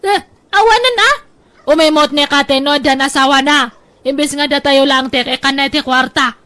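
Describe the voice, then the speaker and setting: Give me a woman acting as a loud, scornful, greedy relative with a high voice. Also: moderate pace. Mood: scornful, shouting. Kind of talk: Ilocano audio drama acting.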